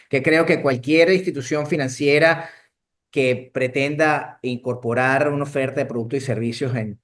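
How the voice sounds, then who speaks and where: A man presenting a webinar conversationally as an expert, repeating phrases to frame his points. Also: medium pitch.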